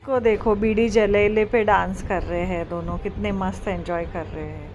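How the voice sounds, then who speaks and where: A woman's voice: mid-range voice, steady tone, a little mellow, highs cut off, faint music behind. A woman narrating a casual group-outing vlog, mixing English phrases into her chatty commentary. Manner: slow, unhurried narration. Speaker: vlogger. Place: outdoors at a villa and lakeside.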